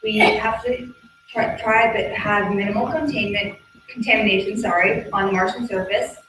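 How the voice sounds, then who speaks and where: A woman's voice: medium pitch, normal speed. Young woman presenting, very echoey distant sound. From Texas, United States. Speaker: student presenter. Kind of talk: prepared team presentation. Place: large echoey indoor room. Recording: echoey hall from far off, noisy.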